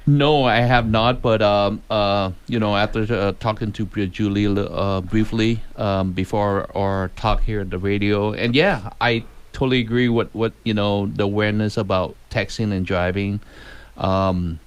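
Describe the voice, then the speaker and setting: A man appearing as a first-time radio guest, friendly and hesitant, with many pauses and restarts. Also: lowish voice, steady tone, clear, resonant tone. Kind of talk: casual interview talk. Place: radio studio.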